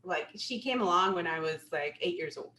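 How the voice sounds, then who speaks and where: A woman being interviewed as a guest, telling lively stories and laughing often. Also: fairly low pitch, normal speed. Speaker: guest, business attorney. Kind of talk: casual interview chat. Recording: roomy with faint ambience.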